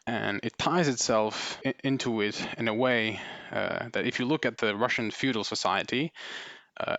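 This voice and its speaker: A man's voice: on the low side, a bit bright, no echo. A man presenting a video essay, explaining his points off the cuff with many fillers and tag questions. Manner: thinking aloud with many fillers and "right?" tags. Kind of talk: unscripted explanatory talk.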